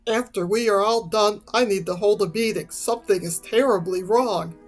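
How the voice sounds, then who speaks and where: A woman's voice: mid-range voice, normal speed, faint music behind, natural voice. A woman voicing an earnest, conscientious grey winged horse in a storybook, grave and formal. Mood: grave, concerned. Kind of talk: dramatized storybook dialogue.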